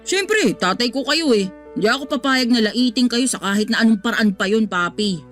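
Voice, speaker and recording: A woman's voice: fairly high pitch, animated, a little fast, natural voice. Woman acting a feisty, sassy young adult. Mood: apologetic, stubborn. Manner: sassy, teasing back-talk. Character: feisty, cheeky young adult child defending father. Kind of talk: radio drama acting. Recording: music underneath.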